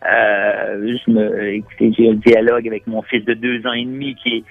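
A man's voice: fairly low pitch, flat delivery, dry recording, narrow, tinny audio. Québécois man in his 30s, interview guest, stumbles over fillers, dry close recording. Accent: Québécois French. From Quebec, Montreal. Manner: halting, many fillers and repeated words. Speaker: guest, Canadian expatriate. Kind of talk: conversational interview answers.

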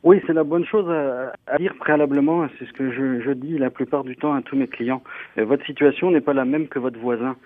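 Male guest lawyer, earnest and halting, heard over a thin phone line. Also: medium pitch, fairly even intonation, no room sound. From northern France. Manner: earnest, explanatory, with frequent hesitations. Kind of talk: radio interview answers. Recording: tinny phone line, dry.

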